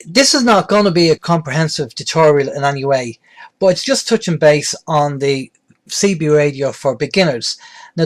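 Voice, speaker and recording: A man presenting an instructional tutorial in a plain-spoken, explanatory way. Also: on the high side. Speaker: presenter, radio tutorial host.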